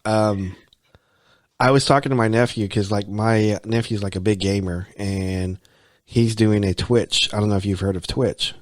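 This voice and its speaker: A man's voice: on the low side, steady tone, moderate pace, no echo, studio quality. An American man hosting a podcast, talking casually and rambling, often repeating himself and saying "you know". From United States. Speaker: podcast host. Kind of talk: casual conversation.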